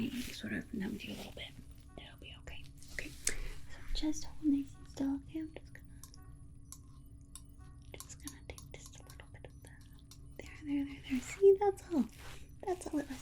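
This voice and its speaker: Whispering, gently coaxing alien scientist played by a woman. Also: high-pitched. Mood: gently fussing. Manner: soothing, coaxing, repetitive, babying. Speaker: ASMR roleplay performer. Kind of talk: whispered ASMR medical roleplay.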